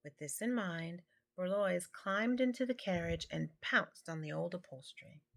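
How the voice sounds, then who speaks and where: A woman's voice: lowish voice, expressive, normal speed, creaky. A woman reading a children's picture book aloud, stumbling now and then over words. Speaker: amateur storybook reader.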